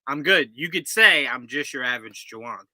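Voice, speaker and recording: A man's voice: medium pitch, expressive, fairly quick, sharp tone, dry recording, poor audio. A man co-hosting a casual live reaction chat, joking and teasing, and laughing often. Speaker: co-host guest.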